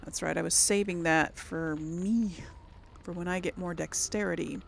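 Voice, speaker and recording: A woman's voice: fairly low pitch, fairly even intonation, normal speed, creaky, slight background noise. A woman hosting a casual let's play, rambling and thinking aloud as she comments on the game. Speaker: gaming video host.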